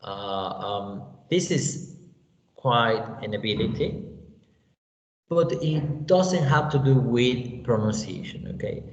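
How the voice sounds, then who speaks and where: Slow-talking Mexican man teaching. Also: mid-range voice, expressive. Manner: unhurried, tags points with "you know" and "okay?". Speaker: English teacher. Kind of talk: online class lecture. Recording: echoey, roomy, dull lo-fi sound with faint hiss.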